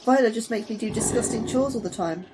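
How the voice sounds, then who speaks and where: A woman's voice: a little high, quick pace, noisy background, natural voice. A woman playing a frustrated, anxious young-ranking science officer in a sci-fi audio drama, grumbling and sarcastic. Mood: exasperated. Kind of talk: audio fiction drama acting.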